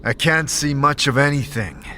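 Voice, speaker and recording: A man's voice: medium pitch, animated, moderate pace, sharp tone, a little hiss or hum, voice pushed up. A man playing a terse, wry adventurer hero in a fantasy audio drama. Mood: weary. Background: music.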